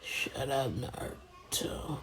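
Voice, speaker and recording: A woman voicing a grumpy, sleepy young ninja boy who mutters curtly in an anime retelling. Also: extremely slow. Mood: irritated. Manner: muttering, half-whispered, curt.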